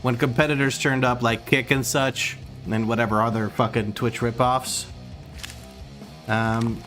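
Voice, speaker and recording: A man's voice: medium pitch, measured pace, soft background music. A man from Croatia who sounds American, chatting casually with his stream chat while gaming and reading out messages. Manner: relaxed, rambling, reads chat aloud, stumbles and restarts.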